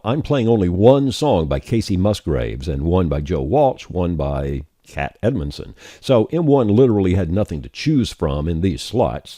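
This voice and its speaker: A man narrating a software tutorial step by step in a folksy, conversational way. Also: deep voice, dry recording, studio quality. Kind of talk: scripted tutorial narration, explaining steps on screen.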